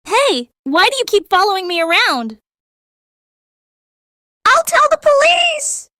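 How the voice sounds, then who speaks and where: A woman's voice: very high-pitched, sing-song, slow pace, bright, forceful tone, dry recording, studio quality, heavily acted. A woman voicing a chatty, self-assured schoolgirl in a manga dub. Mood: accusing.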